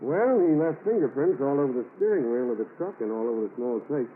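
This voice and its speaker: A confident male federal agent played by an actor with a mid-pitched voice and clipped, methodical delivery in 1940s radio style. The vintage recording is narrow, with some background noise. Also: expressive, fast, close to an everyday voice. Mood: businesslike. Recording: noisy, band-limited 1940s radio recording.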